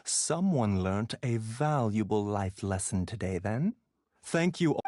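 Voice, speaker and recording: Slow, suave pharmacist. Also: male voice, fairly low pitch, lively intonation, a little mellow, no room sound, studio quality. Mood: genial. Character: suave, unhurried, genial pharmacy owner. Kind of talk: video game voice acting.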